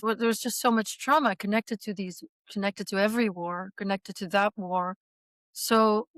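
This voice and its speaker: A woman in her sixties from Belgium, a guest in an interview, speaking in a measured, reflective way with soft pauses. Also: medium pitch, smooth, no room sound. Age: 60s. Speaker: guest, author and survivor. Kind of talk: conversational interview.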